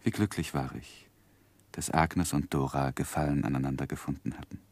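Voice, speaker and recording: An actor playing an earnest, tender gentleman who narrates his life in a gentle, reflective, expressive way in a 1960s German radio play. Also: very deep voice, normal speed, no room sound. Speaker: first-person narrator and lead.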